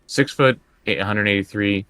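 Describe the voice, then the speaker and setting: A man in his early thirties, a player on a tabletop livestream, chatting casually and a little haltingly. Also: on the low side, pushed and bright, smooth, no room sound. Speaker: co-host and player.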